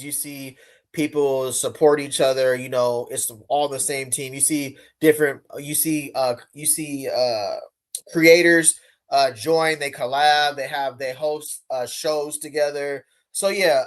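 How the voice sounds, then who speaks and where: A man in casual live-stream chat, speaking a little high with a lively, slangy delivery. He swings between loud bursts and quiet asides.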